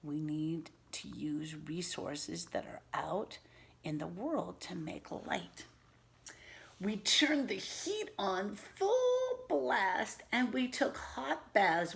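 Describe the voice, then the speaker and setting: A woman teacher reading a picture book aloud to young children, pausing to explain words and ask simple questions in an instructive way. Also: mid-range voice, lively intonation, vocal fry.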